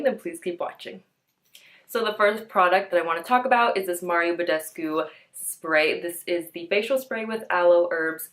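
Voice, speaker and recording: A young woman getting over a cold, so her voice comes out a bit nasal and stuffy. She talks to camera in a chatty, easygoing beauty-vlog way, full of "like" and "um". Her pitch sits a little on the low side. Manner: chatty, peppered with "like" and "um". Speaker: beauty vlogger. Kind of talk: casual chat to camera.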